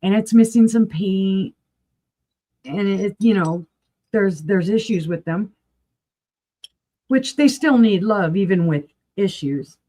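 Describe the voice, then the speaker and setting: A chatty woman hosts a live auction sale, full of enthusiastic asides. Her voice is going hoarse. It sits in an ordinary middle pitch. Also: lively intonation, slow pace, pauses often, warm tone. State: losing her voice, clearing throat.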